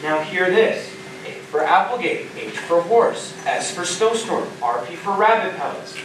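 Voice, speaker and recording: A male student actor plays a rocket crewman with a slightly high voice. The stage delivery rings through a very echoey hall, far from the microphone, with faint noise. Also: lively intonation, measured pace. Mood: cocky, joking. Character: male astronaut crewmate, flippant then bewildered. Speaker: actor in a school theater production. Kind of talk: amateur stage play acting. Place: theater stage, picked up from a distance.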